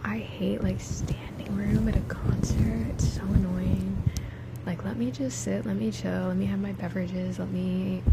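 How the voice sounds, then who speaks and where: A young woman hosting an ASMR livestream, chatting softly and casually in a rambling, slangy way, often dropping into a breathy whisper. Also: mid-range voice, flat delivery, a little slow. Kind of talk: soft-spoken ASMR chit-chat. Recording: loud ambient noise.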